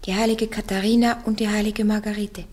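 A woman acting a young peasant visionary on trial in a radio drama. She has a medium-pitched voice and speaks plainly and matter-of-factly, with fearless defiance and curt replies when questioned. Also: level tone, a little fast, a little mellow, natural voice. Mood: fearless, scornful. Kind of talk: German radio play acting.

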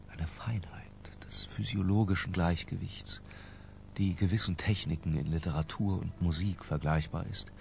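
An obsessive, scholarly narrator in a radio drama. His voice sits very low with a gravelly fry, over a faint rumble. He delivers ornate confessions with deliberate, self-dramatizing weight. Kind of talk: literary first-person radio drama narration.

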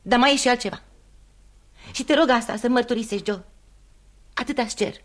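A woman's voice: on the high side, close to an everyday voice. Wounded, intimate woman in her thirties played by an actress. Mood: probing, insistent. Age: 30s. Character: proud, wounded thirty-four-year-old mistress, wry and probing. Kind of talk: radio theatre acting.

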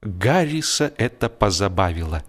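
A man's voice: on the low side, sing-song, moderate pace. A man narrating humorous fiction expressively, with a wry, theatrical delivery. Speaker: audiobook narrator.

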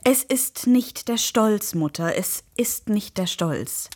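An actress playing a modest woman reading aloud to a circle, soft and gently emotive. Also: mid-range voice, animated, slow. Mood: earnest. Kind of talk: radio drama acting.

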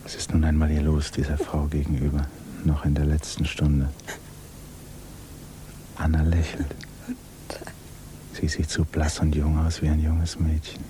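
A man narrating a 1950s radio drama in a hushed, measured, grave and restrained manner. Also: very deep voice, completely flat delivery. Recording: noisy 1950s radio recording.

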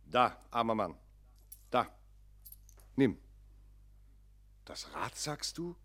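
Husky, slow, pious pastor. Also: male voice, mid-range voice, very animated, a bit bright, full character voice. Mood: startled, pitying. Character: pious, kindly Swiss village pastor, naive and wondering. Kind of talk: German radio play acting.

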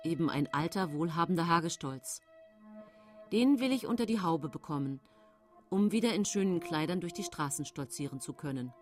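A woman's voice: deep voice, expressive, normal speed, faint music behind. An actress playing a middle-aged female poisoner who confidingly narrates her own case in a German radio play. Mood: matter-of-fact.

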